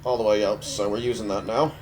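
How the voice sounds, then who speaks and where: A young man with a cold, his voice stuffy, giving relaxed, offhand commentary while playing a mobile game. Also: lowish voice, fast talker. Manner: relaxed, offhand, thinking aloud. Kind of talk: casual gameplay commentary.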